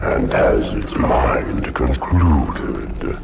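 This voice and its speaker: An actor playing a colossal ancient parasite intelligence in a video game, speaking in booming, grandiose, ominous oratory. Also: male voice, expressive, exaggerated voice. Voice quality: booming, gravelly growl. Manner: slow, grandiose, rhyming oratory. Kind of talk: cinematic video game acting. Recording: loud music behind.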